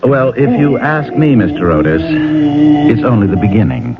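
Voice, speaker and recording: Man as a polite, animated young lord. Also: mid-range voice, moderate pace, over loud music, natural voice. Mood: ominous, matter-of-fact. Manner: courteous, knowingly ominous. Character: polite, earnest young English lord, engaged. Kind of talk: radio drama acting. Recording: muffled, lo-fi old radio recording.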